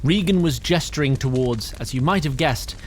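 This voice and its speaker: A man's voice: mid-range voice, expressive, moderate pace, a little hiss or hum. Wry male fantasy narrator. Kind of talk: scripted narration.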